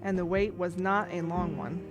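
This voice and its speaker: Earnest, deliberate teaching nun played by woman. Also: fairly low pitch, little pitch movement, highs cut off, music in the background, lightly acted. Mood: storytelling. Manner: instructive, emphatic, deliberate. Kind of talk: audio drama storytelling.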